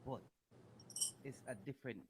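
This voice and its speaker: Man, podcast co-host, excited, slangy, repeats words, faint background noise. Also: mid-range voice, normal speed. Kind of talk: casual interview chat.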